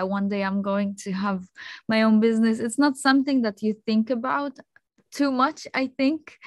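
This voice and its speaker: Polish lady in her 30s on a video call, relaxed, lots of ums and little laughs, dry close sound. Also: a little high, no echo. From Poland. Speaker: guest, kimono stylist and photographer. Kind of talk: casual video-call interview. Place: small room at home.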